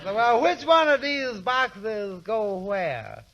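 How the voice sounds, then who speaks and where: An obliging, slow-moving delivery man in a 1940s radio comedy. The voice is strikingly high and meek, with a hesitant comic drawl. The old recording is muffled, with faint noise. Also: very animated, a bit bright, heavily acted.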